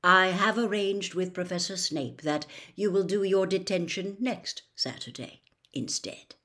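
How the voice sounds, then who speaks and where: A woman voicing a placid, courteous old male wizard headmaster in a storybook, with measured, businesslike delivery. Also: fairly low pitch, fairly bright tone. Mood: calm, measured. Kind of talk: storybook character reading.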